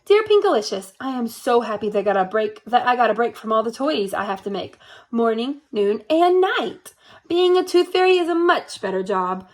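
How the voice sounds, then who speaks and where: A woman's voice: a little high, very animated, moderate pace. A woman voicing a cheerful storybook Christmas elf with a chirpy, emphatic delivery. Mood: relieved, cheerful.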